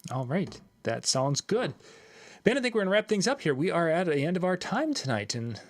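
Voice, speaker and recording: A man's voice: a little high, very animated, brisk. A male host chatting casually, asking questions and often restarting his phrases. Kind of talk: casual chat.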